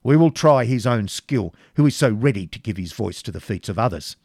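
A man's voice: mid-range voice, normal speed, creaky, dry recording, clean studio sound. A man voicing a fiery, scornful medieval prince in a storybook reading, with commanding archaic speech. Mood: challenging.

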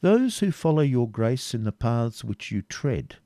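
Man acting a stern, measured senior royal adviser. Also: medium pitch, big pitch swings, dark tone, dry recording, clean studio sound. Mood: low, pointed.

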